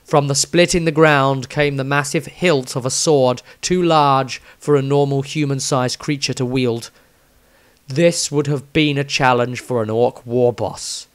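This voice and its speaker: A man's voice: mid-range voice, steady tone, a little slow. A man reading a scripted fantasy tale as its narrator, in a dramatic storytelling style. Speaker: author reading his own story.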